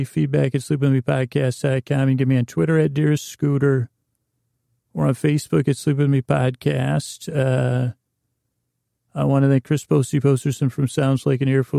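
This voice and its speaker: A man hosting a bedtime podcast in a rambling, soothing monologue, halting and meandering as he repeats himself and trails off, with a gravelly, creaky voice. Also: medium pitch, warm tone, no echo, studio quality. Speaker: podcast host.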